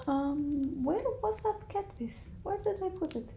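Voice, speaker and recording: A quirky, absent-minded vampire woman played by a female ASMR performer mutters about old folk remedies in an Eastern European accent. Her voice is high, soft and mellow, often sinking to a breathy whisper. She takes her time and rambles, with some background noise in the close recording. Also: expressive, unhurried, exaggerated voice. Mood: absent-minded searching. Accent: put-on Eastern European.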